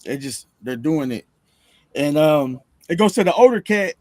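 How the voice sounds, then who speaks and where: Male, thirties, slightly high pitch, dry recording; casual, profane, slang-heavy podcast storyteller. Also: expressive, moderate pace, darkish tone, dry recording. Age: 30s. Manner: slangy, swearing, peppers talk with "bro" and "you know". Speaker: podcast guest, rapper. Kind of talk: casual chat.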